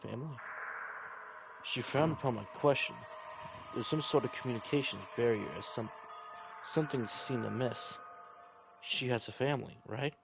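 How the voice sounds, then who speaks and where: A man's voice: mid-range voice, moderate pace, creaky, long pauses, rough recording. A man reading a visual novel aloud in an informal, chatty playthrough style, rambling a little. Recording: music playing behind the voice. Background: background music.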